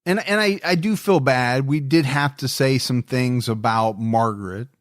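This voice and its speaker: A man's voice: mid-range voice, normal speed, no room sound. Earnest male podcast host, dry close sound. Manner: earnest, reflective, repeats words when stressing a point. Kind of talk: casual true-crime podcast chat.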